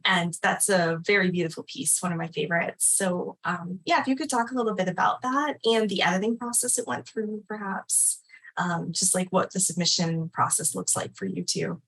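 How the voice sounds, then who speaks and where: A woman's voice: fairly low pitch, normal speed, a bit bright, rough recording. A woman hosting an informal event, speaking casually and off the cuff with hesitant, loosely phrased sentences. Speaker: event facilitator and host. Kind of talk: casual unscripted hosting of a literary launch.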